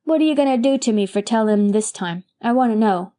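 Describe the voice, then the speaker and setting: A woman's voice: a little high, a little fast, warm tone, natural voice. A woman voicing a mischievous six-year-old boy who talks in a childish, colloquial way. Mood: curious.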